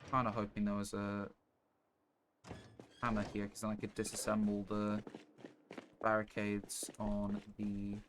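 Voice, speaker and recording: A man's voice: fairly low pitch, monotone, a little slow, pauses often, fairly bright tone. A man live streaming a game, thinking aloud in casual commentary over a noisy stream mic.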